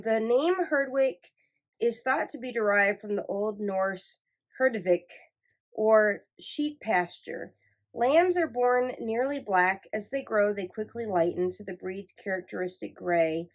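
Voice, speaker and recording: A woman from Michigan hosting a knitting podcast, chatting in a rambling, self-correcting way and sounding nasal from a cold. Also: mid-range voice. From Michigan, USA. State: congested from a cold. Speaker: podcast host. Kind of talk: casual chat.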